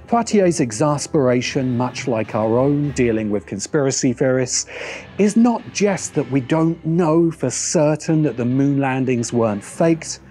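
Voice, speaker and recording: A middle-aged man narrating a scripted video essay in a measured way, with deliberate emphatic pauses. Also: mid-range voice, lively intonation, dark tone, a little hiss or hum.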